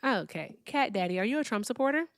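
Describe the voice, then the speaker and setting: A woman's voice: on the high side, animated, fast, no room sound, clean studio sound. Brash trash-talking woman host. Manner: brash, mocking trash talk. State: tired. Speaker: live-stream debate host. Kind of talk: casual live-stream chat.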